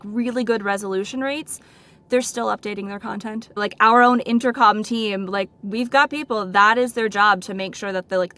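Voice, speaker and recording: A woman with a mid-pitched voice answers interview questions as a product director. She explains things informally and conversationally, often dropping "like" into her sentences. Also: fairly bright tone. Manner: informal, peppers speech with "like" and "right?".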